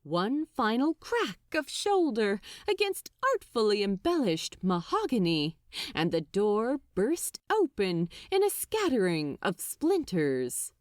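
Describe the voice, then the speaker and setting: A woman reading fiction aloud as narrator, with dramatic, deliberate phrasing and an expressive storytelling style. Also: mid-range voice, dry recording, clean studio sound.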